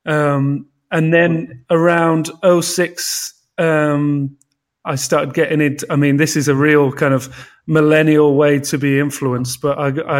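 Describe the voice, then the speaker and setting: A man on a casual podcast, speaking in a measured, analytical way with frequent pauses and restarts. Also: medium pitch. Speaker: podcast co-host.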